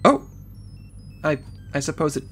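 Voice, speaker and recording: An actor playing a prim, fussy bureaucratic agent who speaks with precise diction in a comedy audio drama. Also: male voice, a little high, sing-song, measured pace, fairly bright tone, faint music behind.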